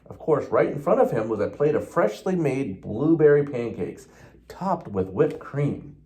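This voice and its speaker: A man's voice: mid-range voice, sing-song, a little slow, dark tone. A man, a police officer, reading a children's storybook aloud expressively, with the odd small stumble.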